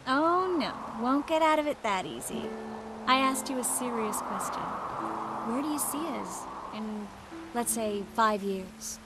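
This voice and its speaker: A woman playing a tender, wistful, gently teasing young sorceress in a video game cutscene, speaking softly and intimately. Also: on the high side, lively intonation, unhurried, over loud music. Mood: relaxed, teasing.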